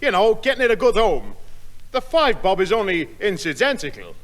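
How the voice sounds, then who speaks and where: A man's voice: high-pitched, huge pitch swings, normal speed, background noise. An actor playing a kindly, flustered older man who apologises and fusses, in a 1960s radio comedy. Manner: apologetic, fussing.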